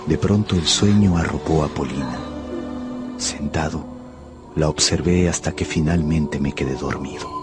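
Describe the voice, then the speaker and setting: An actor playing an earnest, melancholy tutor who tells his own story in a measured, intimate way in a radio drama. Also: extremely low pitch. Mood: wistful. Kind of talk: first-person radio drama narration. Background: music behind the voice.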